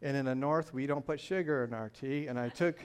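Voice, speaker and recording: An older man with a Michigan accent shares his testimony at a middle pitch. He tells it earnestly, like a story, pausing often on "uh" and "um" as he goes. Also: clear, resonant tone. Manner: earnest, storytelling, with frequent fillers. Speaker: church testimony speaker. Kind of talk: personal testimony.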